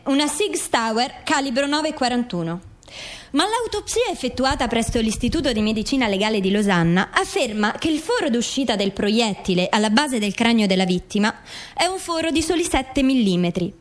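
Higher-voiced woman reading formal radio narration. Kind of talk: scripted documentary radio drama narration.

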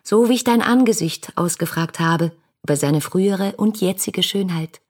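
An actress playing an ardent, lovestruck young woman who speaks her letters lyrically and fervently in a radio drama, with a husky voice. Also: mid-range voice, normal speed, a little mellow, natural voice. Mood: tender longing. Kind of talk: literary radio drama monologue.

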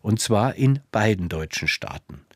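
A man's voice: fairly low pitch, lively intonation, unhurried, no room sound, studio quality. A man presenting radio plays in scripted narration.

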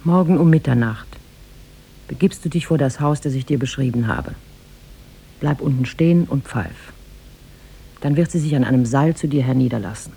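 Husky, creaky conspiratorial go-between. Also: male voice, mid-range voice, moderate pace, pauses often, warm tone. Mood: urgent, conspiratorial. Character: helpful, conspiratorial neighbour and go-between, giving instructions. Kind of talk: 1950s German radio drama acting.

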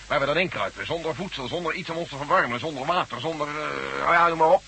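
An actor playing an agitated, pessimistic space traveller who complains fretfully in a science-fiction radio drama. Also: male voice, a little high, fast talker, creaky, pushed and bright. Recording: slightly noisy old radio recording.

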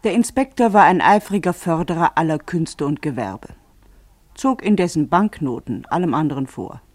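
A woman narrating a radio play in a theatrical, deliberate way with drawn-out emphasis. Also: deep voice, animated, dry recording.

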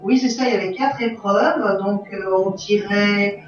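A woman being interviewed in casual conversation, talking haltingly and searching for her words as an event organiser. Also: mid-range voice. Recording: echoey, dull lo-fi room recording.

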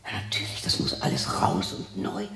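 Creaky, echoing old widow. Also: female voice, very deep voice, wildly swooping pitch, music in the background, exaggerated voice. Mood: muttering, brooding. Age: 60s. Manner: theatrical, halting, muttering to herself, sardonic. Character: lonely, bitter, eccentric sixty-year-old widow talking to herself. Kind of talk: radio drama monologue. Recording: roomy echo with faint noise.

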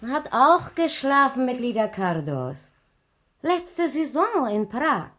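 A woman's voice: high-pitched, big pitch swings, takes it slowly, a bit bright, exaggerated voice. Gossipy circus woman, swooping intonation. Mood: wistful, gossipy. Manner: gossipy, broken German. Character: gossipy middle-aged former circus snake dancer, theatrical and nosy. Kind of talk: radio play acting.

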